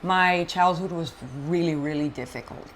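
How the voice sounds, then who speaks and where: A woman from Colombia, a native Spanish speaker, telling her life story as an interview guest in an animated, halting way with frequent restarts. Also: fairly low pitch, slight background noise. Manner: animated, halting, many fillers and restarts, frank. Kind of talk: personal storytelling in conversation.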